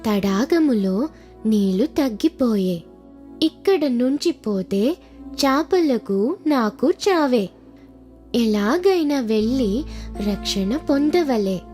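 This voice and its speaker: A woman storyteller narrating a scripted children's fable in simple, deliberate phrases. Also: fairly high pitch, animated, mellow, faint music behind.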